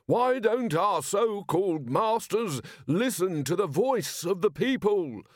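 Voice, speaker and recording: High, screaming soapbox demagogue. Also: male voice, lively intonation, takes it slowly, dry recording, full character voice. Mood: outraged, ranting. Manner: shouted, stretched-out oratory. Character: ranting male soapbox demagogue, screaming outraged grievances.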